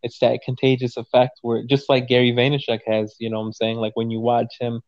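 Twenties man, mid pitch, profane and emphatic, dry slightly muffled sound. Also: completely flat delivery, brisk, darkish tone, no echo. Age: 20s. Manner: profane, emphatic, repeats "you know what I'm saying". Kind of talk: casual conversation.

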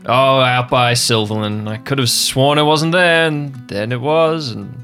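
A man voicing a gruff, tipsy, despairing fishing boat captain in a tabletop game, rambling blearily. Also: medium pitch, unhurried, fairly bright tone, soft background music, pitched above the performer's own voice. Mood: resigned, bleary.

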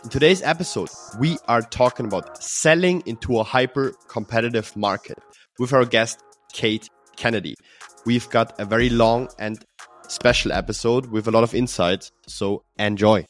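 A man's voice: medium pitch, normal speed, faint music behind. A man hosting a podcast who speaks with enthusiastic, effusive praise for his guest. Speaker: podcast host. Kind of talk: podcast interview.

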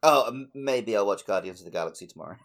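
Low-pitched man chatting casually. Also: lively intonation, quick pace, a bit bright. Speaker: podcast co-host. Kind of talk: casual chat about a video game.

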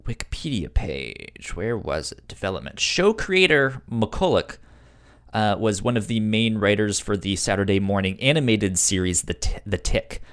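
A man's voice: on the low side, sing-song, moderate pace. A man chatting casually as the host of a podcast.

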